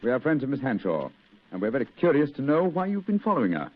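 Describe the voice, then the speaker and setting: An actor playing a brisk, confident British gentleman detective in a radio drama, with a crisp, theatrical, commanding manner. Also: medium pitch, wildly swooping pitch. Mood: brisk, probing. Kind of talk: old-time radio drama acting. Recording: muffled old radio, faint hiss.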